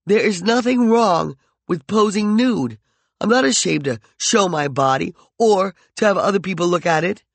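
A male narrator voicing a proud winged woman who answers back with sharp indignation. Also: on the high side, animated, moderate pace, gravelly, no room sound, voice pushed up. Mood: indignant.

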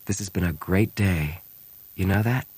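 A man's voice: low-pitched, normal speed, darkish tone, breathy edge, no room sound. A man voicing a cynical, wounded smuggler pilot in an audiobook, terse, bitter and hard-bitten. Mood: grim, flat. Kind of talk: audiobook character acting.

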